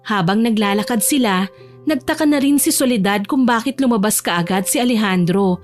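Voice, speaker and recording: A woman's voice: medium pitch, brisk, soft background music. A woman narrator reading a scripted dramatic story for an audio drama.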